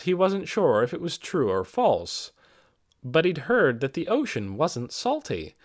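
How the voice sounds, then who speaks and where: A man reading a fantasy novel aloud as scripted audiobook narration, with expressive storytelling delivery. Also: medium pitch, no echo, clean studio sound. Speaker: amateur audiobook reader.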